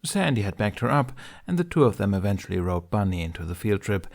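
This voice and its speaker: A man narrates a story from a script. His voice is on the low side, in the style of an amateur audiobook reading. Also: big pitch swings, fast, gravelly.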